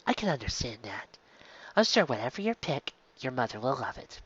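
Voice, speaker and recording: A reader as a gentle pony schoolteacher, lively intonation. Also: mid-range voice, breathy edge, highs cut off. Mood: gentle, kindly. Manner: gentle, measured, advising. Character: kindly, patient adult female pony schoolteacher.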